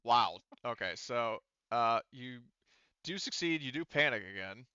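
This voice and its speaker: A man with a bright, smooth voice works as game master for a tabletop roleplaying session. He talks informally, swears casually and explains as he goes. The recording is dry and slightly muffled. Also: medium pitch, animated, measured pace, no echo.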